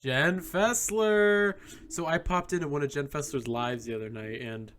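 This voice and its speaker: A man co-hosting a casual pop-culture podcast, animated and jokey, quick to laugh. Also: a little high, slight background noise. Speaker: podcast co-host.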